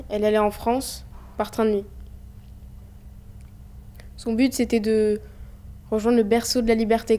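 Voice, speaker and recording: A teenage girl calmly telling the story of her mother's exile. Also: on the high side. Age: teens. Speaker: student telling family history. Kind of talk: personal testimony, documentary voice-over.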